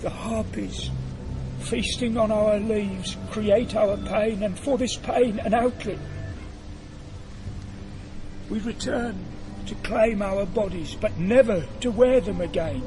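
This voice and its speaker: Anguished soul imprisoned in a tree, high and mournful, radio play with prominent music. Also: male voice, unhurried, poor audio. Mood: bitter mourning. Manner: mournful, dramatic declamation. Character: tormented soul of a dead man turned thorn bush. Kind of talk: audio drama acting. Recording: loud music behind the voice.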